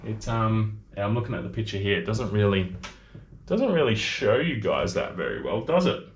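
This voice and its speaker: A man's voice: on the low side, big pitch swings, moderate pace, reverberant, a little hiss or hum. An Australian man in his thirties chatting casually on a livestream, rambling between topics and reading out viewer comments, picked up by camera audio in his workshop. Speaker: maker hosting a livestream.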